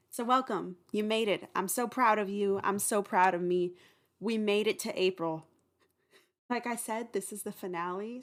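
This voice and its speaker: Upbeat, chatty woman podcast host. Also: medium pitch. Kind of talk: casual outro chat. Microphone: home recording.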